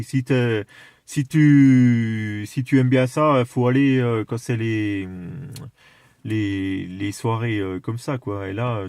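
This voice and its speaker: A man in a casual radio chat, explaining things with enthusiasm while haltingly searching for his words. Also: lowish voice, a little mellow, dry recording. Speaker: amateur radio operator.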